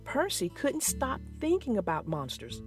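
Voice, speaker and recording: A woman narrating a children's book aloud in a slow, expressive storytelling manner. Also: fairly high pitch, slightly rough, soft background music.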